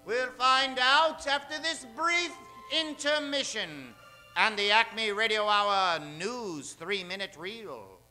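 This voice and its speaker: Slow, very bright theatrical radio narrator man. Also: high-pitched, animated, faint music behind, full character voice. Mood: dramatic. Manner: suspenseful, theatrical. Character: melodramatic old-time radio serial announcer. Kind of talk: 1940s radio serial narration.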